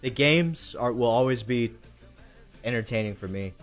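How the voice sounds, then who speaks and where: A man's voice: medium pitch, moderate pace. A man hosting a live stream in casual chat, enthusiastic and slangy, with music behind him.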